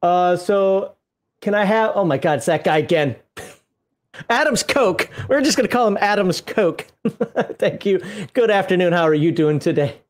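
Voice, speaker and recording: A man's voice: high-pitched, lively intonation, measured pace. A man chatting casually on a live stream, rambling with lots of fillers and laughs, his voice a little worn from talking. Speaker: streamer co-host.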